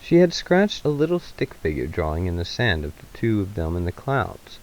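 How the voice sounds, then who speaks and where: A man's voice: sits low, big pitch swings, moderate pace, low-quality recording. A man reading a story aloud as narrator, in a dramatic literary style. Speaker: narrator, reader.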